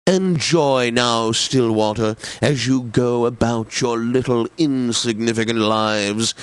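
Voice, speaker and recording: A man playing a pompous, snobbish, condescending classical radio host in a video game, announcing with theatrical, deliberate enunciation. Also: medium pitch, a bit bright, no echo. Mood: snide, condescending.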